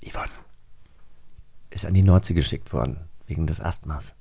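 A man's voice: very deep voice, moderate pace, gravelly, dark tone, slightly rough, no room sound. A man hosting a casual podcast quiz, chatty and self-mocking, with frequent fillers and restarts. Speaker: podcast host. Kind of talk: casual chat, quiz game.

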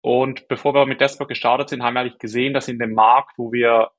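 A man's voice: mid-range voice, flat delivery, quick pace. A man from Switzerland with a Swiss German accent, a startup founder answering interview questions and thinking aloud as he goes. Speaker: guest, startup co-founder and CEO.

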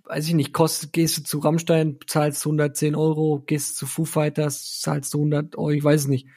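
A man's voice: a little high, monotone, moderate pace, a little mellow. A man chatting casually about music as a podcast co-host, blunt, slangy and rambling.

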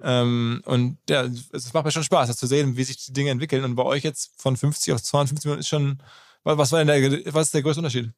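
A man's voice: mid-range voice, fairly even intonation, fast talker. A man hosting a podcast in an informal way, often repeating and rephrasing as he goes. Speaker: podcast host. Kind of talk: casual interview and chat.

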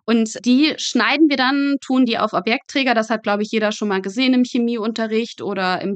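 A woman's voice: on the high side, dry recording. A young woman, a guest telling stories in a casual, chatty and emphatic interview style. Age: young adult. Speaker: podcast guest, medical student working in pathology.

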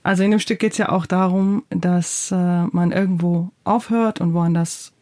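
Playwright in their thirties, hesitant, many fillers, mellow tone. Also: mid-range voice, steady tone, moderate pace. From Georgia. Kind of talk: interview answers.

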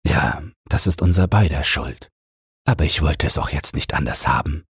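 A man's voice: very deep voice, lively intonation, moderate pace, gravelly, husky, no echo, studio quality, full character voice. A man voicing an earnest, stubborn young businessman defending himself in an audiobook. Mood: conciliatory.

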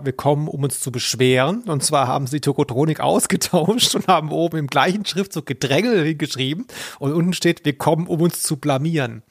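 Male podcast co-host, dry close sound, mid pitch, casual, amused, searching for words. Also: gravelly, no echo. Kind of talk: casual pop-culture podcast chat.

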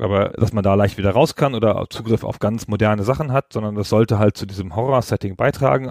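A man's voice: fairly low pitch, gravelly, a little mellow, no echo, clean studio sound. A man hosting a podcast, explaining video games in a casual, colloquial, conversational way. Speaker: podcast host. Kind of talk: casual video game discussion.

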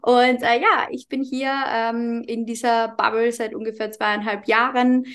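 Carinthian-accented young woman, fairly high voice. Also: level tone, a little slow. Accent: Austrian German, Carinthian. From Carinthia, Austria. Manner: warm, open, lots of fillers. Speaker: podcast guest, mindset coach. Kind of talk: casual podcast interview.

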